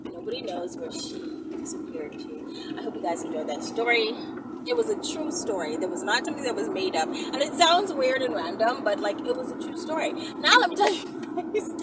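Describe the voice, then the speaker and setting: A woman telling stories to her viewers in a casual, playful, slangy and animated way while riding in a vehicle. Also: high-pitched. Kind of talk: casual chatty storytelling. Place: moving vehicle while driving. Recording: loud vehicle noise, distant mic. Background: loud road and vehicle noise.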